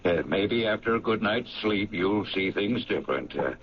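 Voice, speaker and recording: A man's voice: on the low side, expressive, measured pace. An actor playing a blustery but genial businessman with a temper, folksy and evasive, in 1970s radio drama style. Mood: evasive, affable. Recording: dull, noisy old radio recording.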